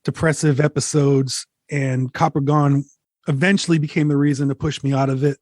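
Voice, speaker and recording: A man's voice: fairly high pitch, little pitch movement, normal speed, a little mellow, no room sound, clean studio sound. A man in his forties, a musician talking candidly and reflectively as an interview guest, swearing casually.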